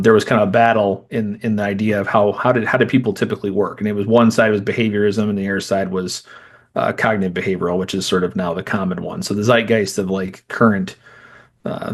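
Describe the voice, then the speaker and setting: Fast-talking low-voiced man in his thirties. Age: 30s. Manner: rambling, restarts and digresses. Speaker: guest psychologist. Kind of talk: conversational interview.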